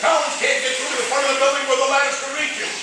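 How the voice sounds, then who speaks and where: An actor playing a stern, urgent fire chief who barks orders in a radio drama. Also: male voice, extremely high pitch, flat delivery, rapid-fire. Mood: urgent shouting. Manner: barks orders, shouts upward. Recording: very echoey, distant, faint noise.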